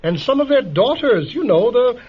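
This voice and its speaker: A man's voice: very high-pitched, sing-song, moderate pace, husky, exaggerated voice. A man playing a smug, bigoted lord in a radio drama, sneering and patronising, recorded with dull vintage radio sound. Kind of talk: golden-age radio drama acting.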